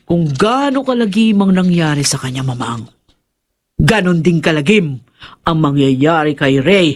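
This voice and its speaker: A woman's voice: deep voice, expressive, unhurried, pauses often, a little mellow. An actress playing a vengeful, scheming woman who commands a demon in a horror audio drama, wicked and theatrical. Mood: smug, cruel.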